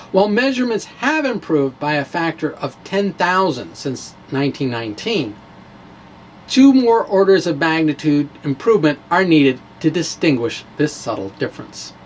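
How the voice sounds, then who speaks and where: A man giving a theatrical, emphatic dramatic reading of a science blog, with deliberate pauses. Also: fairly high pitch, slight background noise. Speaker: science blogger and presenter.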